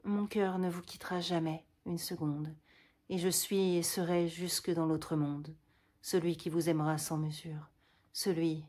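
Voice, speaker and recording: A woman voicing a hushed dying old poet. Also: fairly low pitch, flat delivery, unhurried. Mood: hushed, tender. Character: aging wounded male poet, tender and proud.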